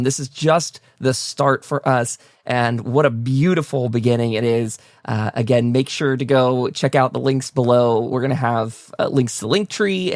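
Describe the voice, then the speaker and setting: A man's voice: medium pitch, no room sound, studio quality. A man hosting a casual talk show, speaking in an effusive, appreciative manner to guests and listeners. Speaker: host of a fan talk show. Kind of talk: casual interview and sign-off to listeners.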